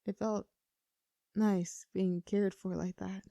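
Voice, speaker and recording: Casting: actress playing nervous, teasing young best friend in love, earnest and conversational, intimate audio roleplay, very dry close sound. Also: female voice, mid-range voice, flat delivery, slow pace, creaky, dark tone, no echo. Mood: tender. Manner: playful, teasing, earnest, slightly flustered.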